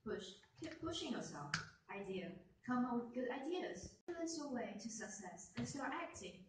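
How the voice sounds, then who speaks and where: A woman's voice: on the high side, very animated, normal speed. A young non-native speaker of English playing an emphatic woman in a student radio play. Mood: earnest. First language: not English. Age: young adult. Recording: echoey, noisy, distant mic.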